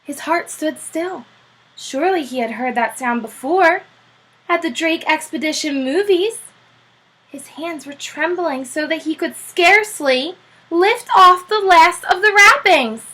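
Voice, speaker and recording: A woman's voice: very high-pitched, unhurried, low-quality recording. A woman teacher reading a children's chapter book aloud, telling it expressively. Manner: expressive, animated storytelling.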